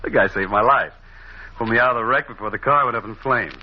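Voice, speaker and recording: An actor playing a charming, smitten American gentleman in an old-time radio drama, expressive and intimate. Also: mid-range voice, fast, breathy edge, natural voice. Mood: delighted, tender. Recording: muffled, noisy old radio recording.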